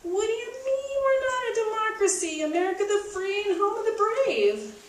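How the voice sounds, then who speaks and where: A woman's voice: extremely high pitch, sing-song, moderate pace, pitched above the performer's own voice. A woman voicing indignant, exclaiming townsfolk in a homemade puppet show. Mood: alarmed protest. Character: alarmed, indignant small-town community members crying out in protest. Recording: roomy, distant microphone, faint noise.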